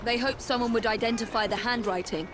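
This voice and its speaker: An actress playing a determined Victorian Englishwoman hunting a killer in a video game, brisk and commanding with an English accent. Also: fairly high pitch, steady tone, noisy background, close to an everyday voice. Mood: firm, composed. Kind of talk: video game cutscene acting.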